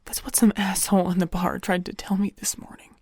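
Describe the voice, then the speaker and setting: A woman playing an irritated, foul-mouthed stable dweller in an audio drama, husky, blunt and contemptuous. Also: mid-range voice, flat delivery, brisk, darkish tone, no room sound, clean studio sound. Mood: contemptuous, angry.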